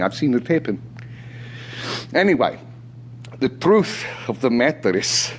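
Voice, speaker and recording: An actor playing a restless, talkative South African ex-soldier in an audio drama, chatty and colloquial. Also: male voice, medium pitch, slight background noise, lightly acted. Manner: chatty, colloquial, punctuated by sighs and short laughs. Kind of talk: audio theatre acting.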